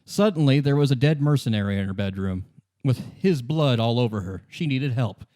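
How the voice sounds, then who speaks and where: A man's voice: mid-range voice, lively intonation, brisk, warm tone, close to an everyday voice. A man playing a wary, bitter fugitive woman in a tabletop radio play, dismissive and sardonic, repeating himself for emphasis. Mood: guarded, insistent. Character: wary red-haired gunwoman in hiding, defiant and bitter.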